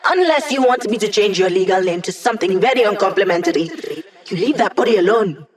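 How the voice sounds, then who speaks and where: Video game mission-support hacker, female, young: wry, conversational, quick with quips; voiced by a woman. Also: medium pitch, animated, natural voice. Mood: wry, playful.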